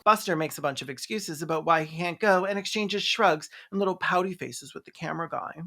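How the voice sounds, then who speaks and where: A reviewer with a mid-pitched voice delivers comedic film commentary. The delivery is sarcastic and peppered with swearing, like a video essayist picking a movie apart. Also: fairly quick, pushed and bright, a little hiss or hum.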